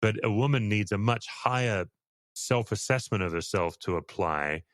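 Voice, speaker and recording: A man's voice: on the low side, moderate pace, no room sound, studio quality. A middle-aged man hosting an interview, speaking candidly and reflectively with a self-deprecating touch. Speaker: podcast host, church leader. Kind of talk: conversational interview.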